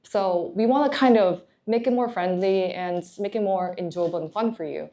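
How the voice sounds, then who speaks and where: A woman's voice: medium pitch, normal speed, dark tone. A young woman from Korea, a management consultant, giving candid, encouraging career advice in a chatty way.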